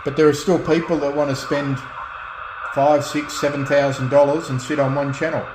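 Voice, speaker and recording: A middle-aged man in a relaxed off-the-cuff chat as a radio hobbyist, speaking in a halting, reflective way and often restarting his phrases. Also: fairly high pitch, noisy background. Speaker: radio hobbyist and restorer presenting own channel.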